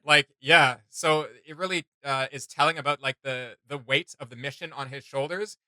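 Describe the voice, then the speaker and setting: Guy doing reaction chat, really bright punchy voice, kinda high, emphatic, super dry close sound. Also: steady tone, dry recording. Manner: emphatic, halting and repetitive, informal. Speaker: reaction video host. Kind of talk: casual opinionated reaction chat.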